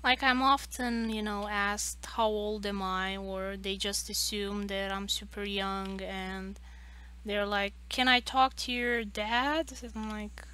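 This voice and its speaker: Slow-talking woman with a squeaky voice. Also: steady tone, sharp tone, clear, resonant tone. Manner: rambling, many fillers and restarts. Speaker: art streamer. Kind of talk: casual chat while drawing.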